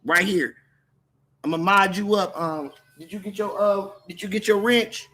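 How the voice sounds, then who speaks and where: High, bright, husky American man. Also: moderate pace. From Oakland, California, US. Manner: slangy, repetitive, confrontational. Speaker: livestream host moderating chat. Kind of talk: casual livestream chat.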